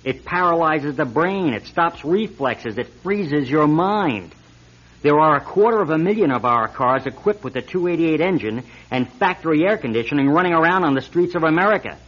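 An actor playing an earnest, insistent auto lab technician turned whistleblower in a radio drama, hesitant at times and urgent at others. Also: male voice, a little high, expressive, moderate pace, fairly bright tone. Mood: earnest, explaining. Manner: hesitant, then urgent and emphatic. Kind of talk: 1970s-style radio drama acting. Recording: dull lo-fi radio recording.

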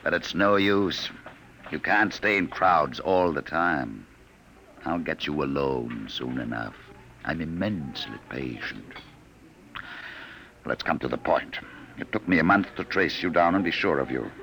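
An actor playing a coldly patient, threatening agent from the future in a classic radio drama, condescending and smooth-talking. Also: male voice, a bass voice, lively intonation, measured pace, sharp tone, slight background noise, heavily acted. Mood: smug menace. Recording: old radio broadcast recording.

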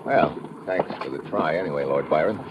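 An actor playing a wry, tough American adventurer in an old-time radio drama, dry and sardonic, on a muffled, noisy vintage recording. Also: male voice, a bass voice, brisk. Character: wry, tough American undercover adventurer narrating his own exploit. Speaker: lead actor and narrator.

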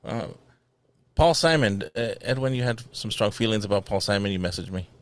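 A man's voice: on the low side, expressive, fairly quick. A man hosting a casual podcast chat, teasing and picky about music. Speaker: podcast host.

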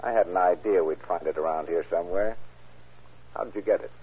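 An actor playing a shrewd, sardonic police sergeant who questions dryly in a 1940s style radio crime drama. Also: male voice, sits low, a little fast, slightly rough. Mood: triumphant, stern. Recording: tinny, muffled old radio recording.

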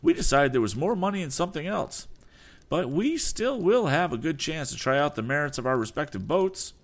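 Man doing a high-voiced insolent schemer, sneering and pushy, storybook style. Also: big pitch swings, fairly quick. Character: smug, insolent rival businessman.

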